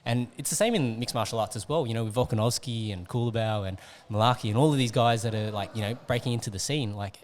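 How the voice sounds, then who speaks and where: Guy, quick talker, rambling, full of fillers, podcast host. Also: mid-range voice, slight background noise. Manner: rambling questions with many fillers. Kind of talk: casual interview chat.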